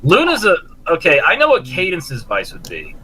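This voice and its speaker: A man's voice: on the high side, huge pitch swings, moderate pace, a crowd in the background. A man on a casual fan panel, joking and acting out imagined quotes. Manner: jokey, acts out imagined quotes. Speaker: podcast panelist.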